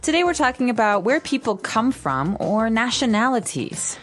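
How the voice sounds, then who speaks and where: An American woman co-hosting an English lesson podcast, explaining words in a clear, careful way. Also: fairly high pitch, big pitch swings, moderate pace, soft background music. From United States. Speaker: podcast co-host, English teacher. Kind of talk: scripted teaching dialogue.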